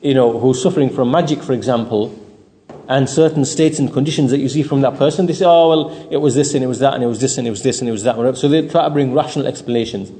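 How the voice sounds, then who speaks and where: A man's voice: medium pitch, fast, dark tone. Man lecturing in a long reverberant echo. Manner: didactic, repeats phrases for emphasis, checks with "right?". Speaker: religious teacher. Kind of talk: explanatory Islamic lecture, partly off the cuff.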